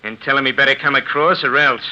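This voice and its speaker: An actor playing a hot-headed, threatening ex-convict in an old radio crime drama, with a gruff, sneering manner. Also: male voice, mid-range voice, quick pace, background noise, lightly acted. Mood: menacing. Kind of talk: 1940s radio drama acting. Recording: dull old radio broadcast recording.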